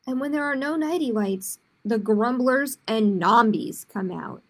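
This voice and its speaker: Woman playing a little storybook dinosaur, nervous, earnest, dramatic, high and husky, unhurried. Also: animated. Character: small, nervous young dinosaur trying to be brave.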